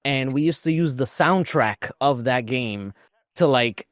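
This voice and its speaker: A man with a clear, resonant voice at a middle pitch talks casually as a podcast co-host. He rambles with enthusiasm, and the sound is close and dry. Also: animated, measured pace, dry recording. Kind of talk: casual panel chat.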